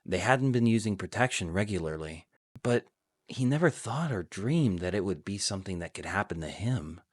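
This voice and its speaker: Low-voiced man narrating a story. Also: animated, normal speed, dry recording, studio quality. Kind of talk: scripted fan fiction narration.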